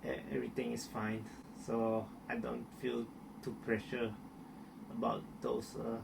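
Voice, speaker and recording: Slow, hesitant Malaysian man, gravelly voice. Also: mid-range voice, little pitch movement, far from the mic, background noise. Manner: hesitant, many restarts and fillers. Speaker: interviewee. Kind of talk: casual interview answers.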